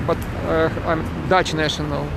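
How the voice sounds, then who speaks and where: A man's voice: on the high side, level tone, normal speed, creaky. A man from Ukraine, broadcasting live from a city street on a phone, answering viewers in broken English and often restarting his words. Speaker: parent livestreaming a protest. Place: outdoors on a city street with traffic. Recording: noisy outdoor phone recording with traffic.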